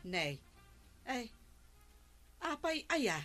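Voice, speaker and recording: Slow, lively village friend. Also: female voice, a little high, soft background music, exaggerated voice. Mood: sympathetic, teasing. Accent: Ilocano. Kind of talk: Ilocano radio comedy drama acting.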